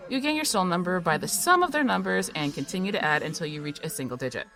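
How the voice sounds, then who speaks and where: A woman's voice: on the low side, lively intonation, fast, a bit bright, faint music behind. A woman playing a sarcastic, skeptical young woman. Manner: dry, sarcastic. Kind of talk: audio drama acting.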